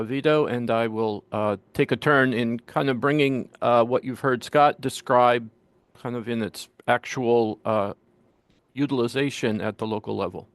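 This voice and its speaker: A man's voice: mid-range voice, a little slow, no echo. A man speaking on a remote meeting call, hesitant with many fillers.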